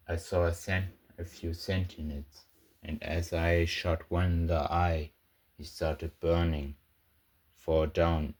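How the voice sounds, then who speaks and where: Male actor as war-weary sci-fi soldier, extremely low pitch, flat monotone delivery, halting short phrases, husky, grim audio log narration. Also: heavily acted. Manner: halting, broken into short phrases with heavy pauses. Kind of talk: scripted first-person audio log narration, amateur sci-fi roleplay.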